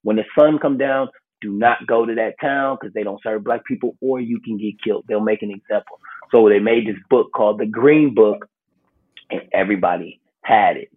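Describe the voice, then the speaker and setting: An American man tells stories in a loose, conversational way, slipping into slangy little quoted exclamations. His voice is husky and not very clean, and it comes through a narrow, phone-like call. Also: fairly low pitch, normal speed. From Milwaukee, United States. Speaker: podcast guest, travel series host. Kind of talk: casual conversational storytelling. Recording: thin phone-like call audio.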